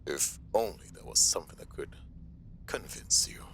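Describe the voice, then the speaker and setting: A man playing a menacing, gloating mobster villain in an audio roleplay, with slow, theatrical taunts and mocking politeness. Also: low-pitched, big pitch swings, creaky, fairly bright tone, in a whisper, heavily acted. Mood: menacing taunting.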